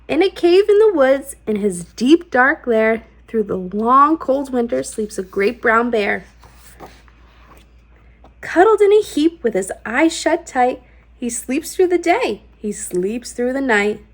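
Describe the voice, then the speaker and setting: High, lively woman reading a picture book. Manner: sing-song, deliberate rhythmic phrasing. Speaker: children's storytime host and read-aloud reader. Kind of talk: expressive picture-book read-aloud.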